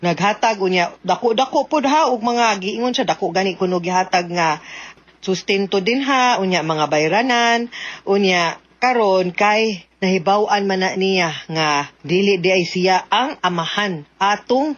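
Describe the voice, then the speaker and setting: A woman's voice: mid-range voice, unhurried, lo-fi sound. A woman with a Cebuano Filipino accent hosting a radio advice show, chatty and emphatic, mixing in English. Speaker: radio host, lawyer giving advice.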